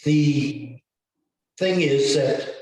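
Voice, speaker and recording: A man from Canada speaking as a guest on a video call, slow and thoughtful with many pauses. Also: on the high side, a little mellow, very echoey, distant mic. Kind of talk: casual talk on a video call.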